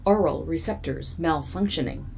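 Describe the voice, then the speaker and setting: A woman voicing a curt, literal, malfunctioning ship computer in an audio drama, with formal, clipped, deadpan delivery. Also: sits low, normal speed, a little hiss or hum. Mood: flat, uncooperative.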